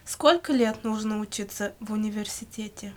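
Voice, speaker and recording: A woman reading scripted language-course questions and answers in a measured, carefully enunciated way. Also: mid-range voice. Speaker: language lesson reader.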